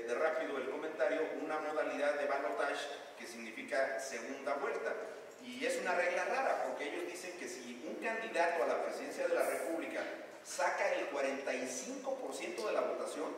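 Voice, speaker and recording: A middle-aged Mexican man speaking formally at a conference, explaining things in a deliberate, wordy way. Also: a little high. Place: hotel conference room, indoors. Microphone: podium microphone. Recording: echoey hall, distant, faint noise.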